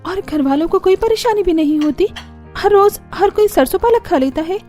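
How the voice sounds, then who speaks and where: A woman plays an anxious young daughter-in-law in a cartoon story. The voice is high and plaintive, full of bewildered exclamations, over faint music. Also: expressive, normal speed, mellow. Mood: bewildered, complaining. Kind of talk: Hindi cartoon story character acting.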